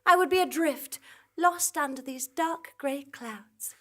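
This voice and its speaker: A woman playing a gentle, anxious young farm woman with fretful melodrama in a live comedy radio play. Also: sits high, takes it slowly. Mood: despairing. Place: theatre stage, live performance.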